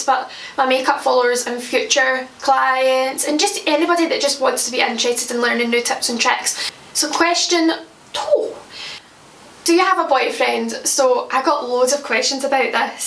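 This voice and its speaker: A young Scottish woman in her twenties talks casually to camera from her home, chatty and quick to laugh at her own slip-ups. Her voice sits high. The room sounds roomy, and she is set back from the mic. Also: gravelly, a bit bright, far from the mic, slight background noise. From Scotland. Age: 20s. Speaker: makeup vlogger. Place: at home, indoors.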